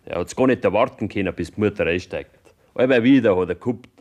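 A man's voice: fairly low pitch, fast talker, a little mellow, lightly acted. An actor with a Bavarian accent playing a farm couple's son giving his account in a radio drama. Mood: subdued. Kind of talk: German radio drama acting.

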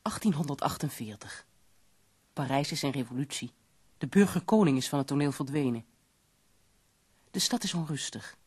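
Very husky, composed lady visitor. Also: deep voice, animated, darkish tone. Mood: sombre. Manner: measured, formal, considerate. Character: polite, composed, concerned lady visiting a sick friend. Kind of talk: radio drama narration and dialogue.

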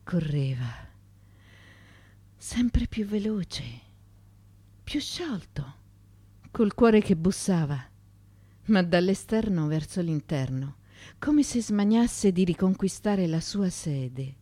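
Low-voiced woman, intense slow dramatic reading. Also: animated, mellow, no room sound. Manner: intense, theatrical, deliberate phrasing with pauses. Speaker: actress reading literary monologue. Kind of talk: dramatic literary narration for radio theatre. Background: music.

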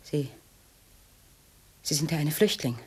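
An actress playing a tense, defiant housewife in a German radio crime drama, giving sharp commands that break into breathy hesitation. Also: female voice, sits low, expressive, slow pace, exaggerated voice. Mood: frightened, commanding. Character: tense, defiant housewife holding an intruder at gunpoint.

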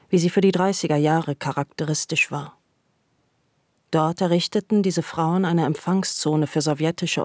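A woman's voice: low voice, a little mellow, no room sound, studio quality. A woman reading scripted literary narration for a radio play.

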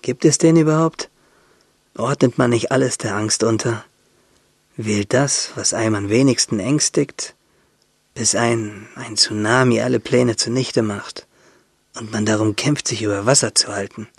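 An actor playing a dying young man in a radio drama, husky and gravelly, musing intimately in speech, bitter yet playful, asking and answering himself. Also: medium pitch, a little slow, no room sound, studio quality. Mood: bitter, searching.

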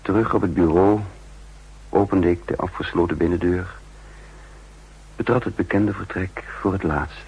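An actor playing an intense, guilt-ridden double agent who narrates his own downfall in a 1960s Dutch radio drama, with a husky voice and deliberate dramatic delivery. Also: low-pitched, dull audio, full character voice. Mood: tense, resigned. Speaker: lead actor and first-person narrator.

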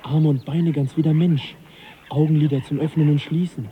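An actor playing an absorbed, musing gentleman naturalist in a German radio drama, wondering and hushed in manner. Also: on the high side, fairly even intonation, moderate pace, lo-fi sound, a little hiss or hum, natural voice. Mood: awed, curious.

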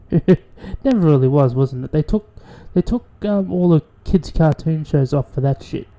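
A man's voice: on the high side, animated, a little slow, warm tone. A man with an Australian accent, hosting a radio show in a rambling, profane casual monologue with many restarts.

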